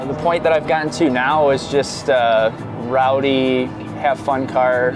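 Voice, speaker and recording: A man's voice: medium pitch, steady tone, unhurried. A man race driver talking in an easygoing, jokey way in a casual interview. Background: background music.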